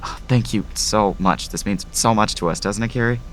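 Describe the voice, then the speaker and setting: A man's voice: lowish voice, moderate pace, fairly bright tone, slight background noise, natural voice, rough recording. A man acting in an audio drama as an eager, pushy, proud father of a young actress. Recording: music under the voice.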